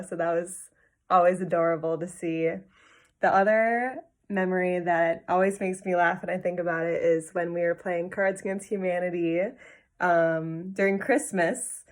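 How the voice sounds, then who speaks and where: Woman fondly telling family stories. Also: lowish voice. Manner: warm, fond storytelling. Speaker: family member sharing memories. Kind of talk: recorded personal tribute.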